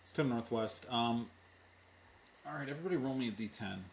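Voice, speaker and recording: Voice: mid-range voice, brisk, creaky, crowd noise. A game master running a tabletop game in casual, teasing banter and narration.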